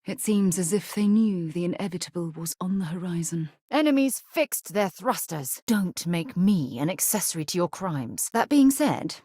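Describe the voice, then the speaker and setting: Principled female space explorer, low pitch, husky, earnest, articulate, dry close game recording. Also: animated, moderate pace, few pauses, a little mellow, no room sound. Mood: urgent, then firm. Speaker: video game voice actress. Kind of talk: scripted video game companion dialogue.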